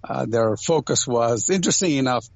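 A man's voice: mid-range voice, lively intonation, normal speed, creaky, no room sound, lo-fi sound. A man, an interview guest who grew up in Ghana and other countries in an Indian family, explaining things through anecdotes in a conversational way. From Ghana and other countries abroad, Indian family. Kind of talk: conversational interview, personal storytelling.

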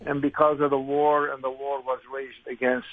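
A man in his sixties from Lebanon, with a Lebanese accent, speaking haltingly as an interview guest over a narrow phone-like line. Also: mid-range voice, little pitch movement. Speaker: interview guest, congressional candidate. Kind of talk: conversational interview, recollection.

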